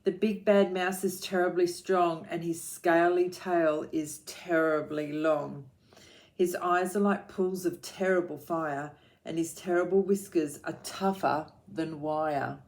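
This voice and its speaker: Woman voicing a big monster dad, gruff, warning, slow and ominous, low voice. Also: fairly even intonation, a little mellow.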